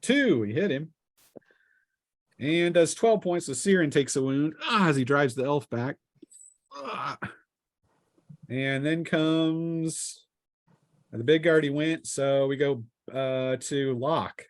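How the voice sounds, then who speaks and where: A man's voice: fairly high pitch, slow pace, long pauses, no echo, clean studio sound. A man acting as game master, refereeing a tabletop game and narrating the action in a casual, improvised way with vivid touches.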